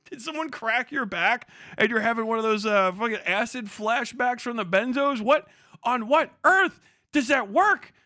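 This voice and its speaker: A man with a high, bright voice delivers a casual streamer rant. He sounds incredulous and mocking, swearing freely in loose slang. The sound is dry, with very little room on it. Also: expressive, unhurried, no echo. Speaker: live streamer reacting to internet drama. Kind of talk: casual profane ranting commentary.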